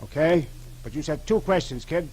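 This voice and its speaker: Guy doing a weaselly slangy lowlife snitch, kinda high voice, overfamiliar, old time radio with slight engine hum. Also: expressive, moderate pace, darkish tone, lightly acted, poor audio. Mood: smug, casual. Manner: slangy, wheedling, over-familiar. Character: sly, cheeky small-time male crook and informant. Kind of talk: 1940s-50s radio drama acting. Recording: old radio broadcast with faint engine noise.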